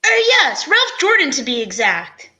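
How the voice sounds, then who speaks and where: A woman plays a nervous male executive in an old-time radio detective show. The voice is extremely high and sharp, with lively swings. He hesitates and frets, stammering in a fussy way. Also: a little slow, exaggerated voice. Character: flustered, anxious male store executive hiring a detective.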